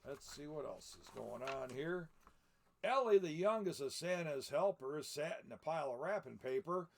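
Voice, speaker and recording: An older man reads a children's picture book aloud as Santa. His voice is gravelly and a little high for a man. He drifts between storytelling and rambling, folksy asides to his listeners. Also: lively intonation, moderate pace, slight background noise. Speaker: storyteller in the persona of Santa Claus.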